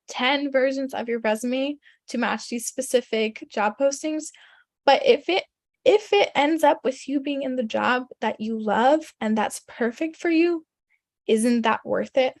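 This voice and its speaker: Young female career coach, first language German, loose and chatty with many fillers, slightly high voice. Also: no echo. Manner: chatty, lots of fillers, frequent throat clearing. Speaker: career coach presenting a resume webinar. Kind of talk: casual webinar presentation.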